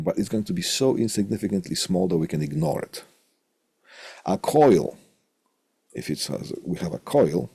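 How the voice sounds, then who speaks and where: Male instructor, deep voice, heavy vocal fry, informal technical lecture, deliberate delivery, repeated phrases, self-posed questions. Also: mellow, dry recording. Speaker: college electronics instructor.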